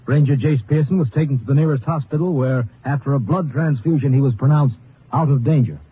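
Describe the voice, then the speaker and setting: A man with a mid-range voice reads scripted 1950s radio narration quickly, in a clipped, official way. The recording is tinny and clearly noisy. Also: mid-range voice. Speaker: epilogue announcer.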